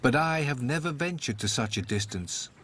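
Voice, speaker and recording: A man's voice: medium pitch, lively intonation, normal speed, a bit bright. A man voicing a fussy, weary, proud mother duck in a storybook tale, fretful and exclaiming. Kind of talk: storybook character voice.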